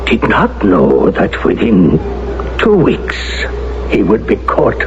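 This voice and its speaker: Solemn guy full of remorse, slow n formal, old radio drama w lots of noise. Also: lowish voice, wildly swooping pitch, heavily acted. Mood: remorseful, halting. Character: grave, remorseful Frenchman, former resistance fighter. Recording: muffled, very noisy old radio broadcast.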